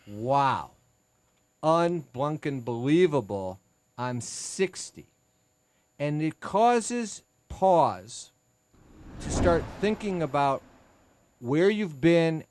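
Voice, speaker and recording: A man's voice: fairly high pitch, dry recording. A man in his sixties hosting a legal talk radio show, chatty and self-mocking, often stopping to restart a sentence. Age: 60s. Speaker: attorney and host. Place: radio studio. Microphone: studio mic.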